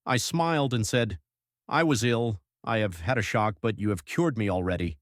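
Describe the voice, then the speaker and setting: A man narrating an audiobook as an earnest young husband reading out his journal in a measured, literary way. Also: fairly low pitch, no room sound, studio quality, close to an everyday voice. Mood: warm recounting.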